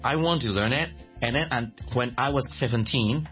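An animated man from Malaysia tells his story as an interview guest, laughing often and circling back over his words. The audio is slightly dull, with faint music under the talk. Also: medium pitch, normal speed. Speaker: guest, creative director and songwriter. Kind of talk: casual interview chat.

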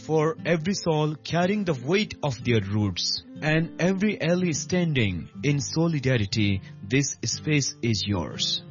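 A man narrating a scripted inspirational radio show intro with solemn, deliberate rhetorical emphasis. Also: a little high, very animated, dull audio, music in the background.

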